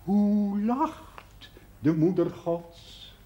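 A man's voice: sits high, expressive, very slow, warm tone, dull audio, full character voice. An actor playing an earnest amateur playwright who declaims his pompous religious verse in a 1970s television drama. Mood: solemn rapture. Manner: theatrical declaiming, hesitant between recitations. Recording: noisy 1970s television soundtrack.

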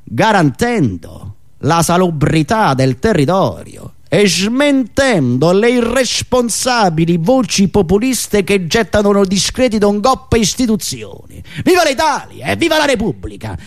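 A man delivering a satirical political monologue in an indignant, sarcastic, declamatory manner with dramatic pauses and repetitions. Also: high-pitched, very animated. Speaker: satirical monologist and radio show host.

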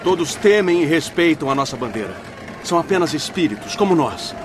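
Calm spirit guide over noise. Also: male voice, fairly high pitch. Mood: calm, reassuring. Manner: measured, didactic. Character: calm, reassuring, preachy spirit guide leading a caravan. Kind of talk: radio drama acting. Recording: noisy vehicle-like background ambience.

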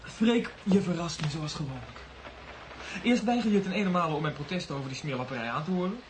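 High-voiced, excitable young writer, acted by a man. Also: brisk, slight background noise, lightly acted. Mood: delighted. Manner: earnest, emphatic, insistent. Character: indignant, admiring young male aspiring writer, excitable loyal friend. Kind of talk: Dutch radio drama acting.

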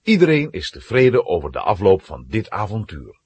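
A man narrating a children's radio play with dramatic, suspenseful, animated storytelling. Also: lowish voice, normal speed, husky, no room sound.

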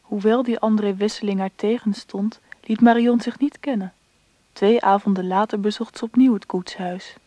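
A woman's voice: medium pitch, fairly even intonation, moderate pace, warm tone. A woman narrating a radio drama from a script.

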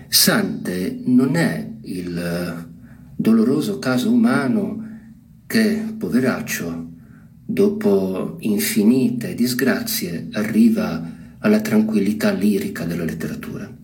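A man giving informal literary commentary in a reflective, didactic way, with deliberate emphasis. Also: on the low side, darkish tone.

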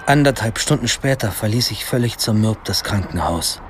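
A man's voice: on the low side, expressive, normal speed, noisy background, lightly acted. An actor playing a teenage boy who narrates his misadventures in a radio drama, in a wry and dramatic storytelling manner. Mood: wry suspense.

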